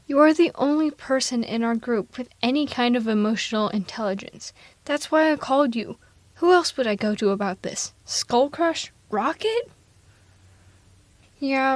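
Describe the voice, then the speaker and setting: A woman's voice: fairly high pitch, no echo, close to an everyday voice. A woman playing a blunt but protective and caring female supervillain in a casual, wry, conversational ASMR audio roleplay. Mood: exasperated, wry. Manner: casual, wry, reassuring, exasperated.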